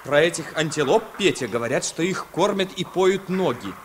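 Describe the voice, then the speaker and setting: An actor playing an alert, gently amused captain in an old radio play, with declamatory delivery. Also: male voice, a little high, animated, moderate pace, noisy background, natural voice. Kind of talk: mid-century Soviet radio play acting.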